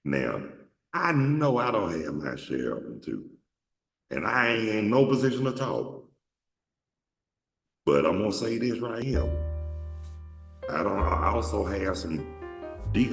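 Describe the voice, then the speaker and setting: A man's voice: very animated, unhurried, creaky, long pauses, faint music behind. A man from Atlanta, hosting a show and telling stories in a rambling, profane way with lots of restarts. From Atlanta, Georgia. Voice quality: deep voice. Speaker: show host. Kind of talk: casual storytelling monologue. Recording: echoey room, mic at a distance.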